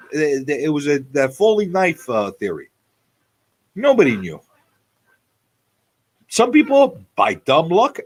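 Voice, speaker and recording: A man in his forties hosting a casual live talk show, repeating phrases for emphasis as he talks off the cuff. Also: on the high side. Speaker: radio show host.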